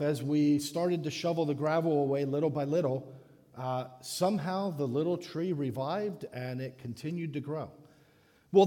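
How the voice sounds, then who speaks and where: Man preaching, from the Dominican Republic, somewhat high voice, warm and mellow tone, hesitant restarts, long church echo. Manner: halting, many restarts. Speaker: guest preacher. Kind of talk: sermon. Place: church sanctuary. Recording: echoey church hall.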